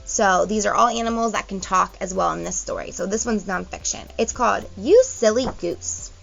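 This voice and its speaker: Female teacher for children's read-aloud, lively and expressive, dull lo-fi recording with light music behind. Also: medium pitch, moderate pace, creaky. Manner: expressive storytelling, explains to young listeners. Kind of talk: children's picture book read-aloud with asides.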